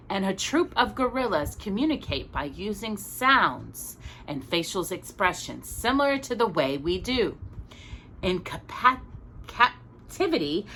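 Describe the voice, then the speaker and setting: A woman's voice: lowish voice, bright, forceful tone, slight background noise, poor audio. A woman reading a children's picture book aloud as a storytime reader, expressively and with small asides to a young listener.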